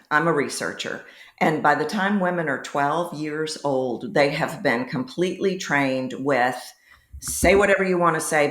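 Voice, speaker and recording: A woman's voice: low voice, a bit bright. A woman voice coach answering interview questions in a firm, lecturing way. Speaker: voice coach guest. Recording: echoey room.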